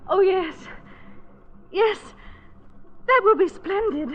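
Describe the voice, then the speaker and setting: An actress playing an anxious, secretive wife in a 1940s radio drama, polite, nervous and evasive. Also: female voice, very high voice, takes it slowly. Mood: flustered. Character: anxious, secretive wife hiding evidence from police. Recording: dull, noisy old radio recording.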